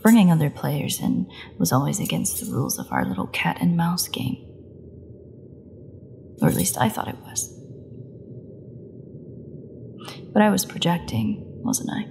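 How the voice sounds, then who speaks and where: A woman playing a starving, captive vampire in an audio roleplay, sardonic and teasing, often dropping into breathy half-whispers. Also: deep voice, wildly swooping pitch, normal speed, noisy background, full character voice. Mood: strained, resigned. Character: starving captive female vampire, taunting, flirtatious, desperate. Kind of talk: scripted audio roleplay monologue.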